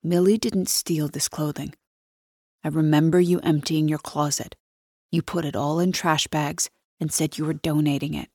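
A woman voicing a calm grown man who speaks steadily and firmly. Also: sits low, normal speed, no room sound, studio quality.

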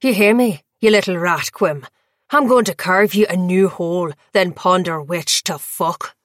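Brute henchman, male, foul-mouthed and snarling, read by a woman narrator, shouted threats, dry booth audio. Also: fairly low pitch, unhurried, no room sound, natural voice. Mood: menacing. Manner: hissing, profane, loud. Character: vicious brutal male thrall, crude and snarling.

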